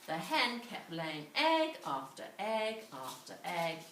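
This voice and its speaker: A woman telling a children's story in an animated way, with repetition, dramatic pauses and questions to her listeners. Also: lowish voice. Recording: roomy, distant mic, clearly noisy.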